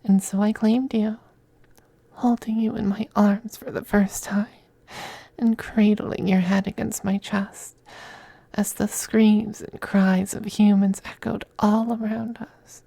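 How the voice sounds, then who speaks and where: A man playing a possessive, teasing femboy vampire in an intimate ASMR roleplay, speaking softly and breathily, often in a whisper, with coaxing, condescending affection. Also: high-pitched, little pitch movement, takes it slowly, vocal fry, dry recording, exaggerated voice. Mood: possessive, tender. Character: possessive, teasing, doting femboy vampire master styled as mistress.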